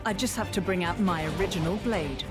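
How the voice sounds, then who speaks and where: An actress voicing a friendly, modest, easygoing young heroine in a video game, with a casual, light manner. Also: female voice, on the low side, a little fast, loud music behind the voice, lightly acted. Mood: friendly, playful. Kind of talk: video game cutscene acting.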